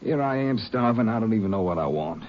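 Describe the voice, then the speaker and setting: An actor playing a folksy, easygoing American Texas Ranger, the junior partner in an old radio drama. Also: male voice, lowish voice, lively intonation, fast talker, close to an everyday voice. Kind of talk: 1950s radio drama acting. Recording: noisy, muffled old radio recording.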